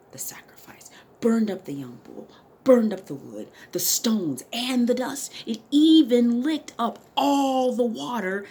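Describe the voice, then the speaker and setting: Slow, highly animated woman reading dramatically. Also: a little hiss or hum. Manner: theatrical, emphatic, swinging between hushed and loud. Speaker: dramatic reader. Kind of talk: dramatic Bible story narration.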